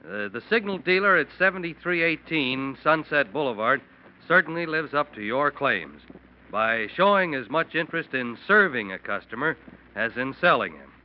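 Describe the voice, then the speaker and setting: A man's voice: a little high, lively intonation, normal speed, slight background noise. A man giving a formal, earnest scripted testimonial in a 1940s radio commercial. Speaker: customer giving sponsor testimonial. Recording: muffled vintage radio recording.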